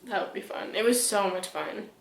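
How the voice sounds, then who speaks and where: A woman's voice: medium pitch, moderate pace, gravelly. Giggly woman in her twenties, echoey. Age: 20s. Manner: giggly, laughs often while talking. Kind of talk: casual chat. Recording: echoey room with loud background noise.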